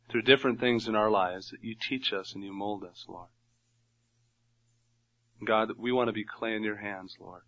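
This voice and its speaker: A man's voice: fairly low pitch, fairly bright tone, lo-fi sound. A man with a nasal voice leading a Bible study in a church room, praying and then teaching in an earnest, conversational way. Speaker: pastor. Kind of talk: Bible teaching sermon with prayer.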